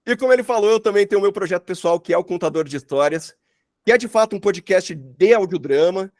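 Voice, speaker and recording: A man's voice: high-pitched, expressive, quick pace, darkish tone, dry recording, studio quality. A man teaching an online workshop in an informal, chatty way full of slang and filler tags. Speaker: workshop instructor, sound designer. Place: online video call.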